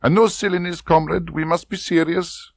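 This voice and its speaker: A man's voice: a little high, fairly even intonation, moderate pace, gravelly, no echo, voice pushed up. A man voicing a domineering middle-aged female Soviet colonel in an audiobook, stern and commanding with a coaxing, oily edge. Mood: briskly instructing. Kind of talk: audiobook character voice.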